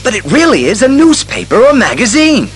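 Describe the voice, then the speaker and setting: A man's voice: very high voice, wildly swooping pitch, a little fast, faint music behind, full character voice. A man playing an eager young male crime-fighting sidekick in a superhero audio drama. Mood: earnest, eager.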